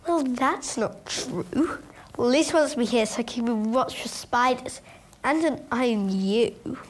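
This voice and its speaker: A woman's voice: fairly high pitch, slow. A woman playing a cheeky, teasing young little sister in a radio play. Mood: matter-of-fact.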